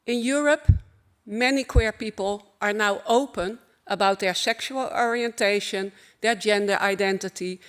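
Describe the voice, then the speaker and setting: A woman with a Dutch accent giving a formal opening keynote at a conference, earnest and measured, in short phrases. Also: mid-range voice, clear, resonant tone. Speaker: conference speaker.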